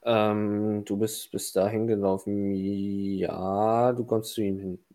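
A man running a tabletop role-playing game, improvising narration and casual rulings in a halting, hesitant way. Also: lowish voice, flat delivery, dark tone, no echo. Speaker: game master.

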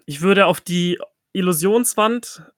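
Guy playing a tabletop game, voice a bit high, thinks out loud, dry sound. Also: slow pace, no room sound. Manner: thinks aloud, explains reasoning. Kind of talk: casual game-table talk.

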